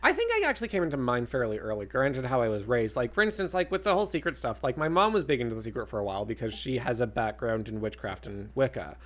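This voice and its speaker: A podcast co-host chatting casually, giggly, saying "like" constantly and breaking into loud laughter. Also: mid-range voice, very animated, fast, few pauses. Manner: giggly, heavy use of "like", bursts of loud laughter. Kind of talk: casual chat.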